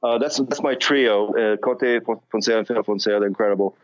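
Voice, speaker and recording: A man's voice: fairly low pitch, a little fast, muffled recording. A man with a light non-native accent, talking as a musician guest in a casual interview, rambling with many restarts and fillers.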